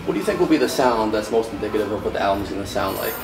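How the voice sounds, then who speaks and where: Fast-talking male interviewer, laid-back. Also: fairly low pitch, lively intonation. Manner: laid-back, with affirming "right" and "cool". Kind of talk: casual interview questions. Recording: echoey room, mic far off, clear background noise.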